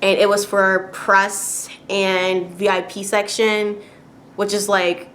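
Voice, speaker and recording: A woman talks straight to camera in a loose, chatty rant, full of "like" and "you know," breaking into short laughs, then climbing higher when something annoys her. Her voice sits at an ordinary middle pitch, and faint noise hums behind it. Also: flat delivery, takes it slowly, fairly bright tone. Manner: chatty, frequent fillers and laughs, rising exasperation. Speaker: vlogger.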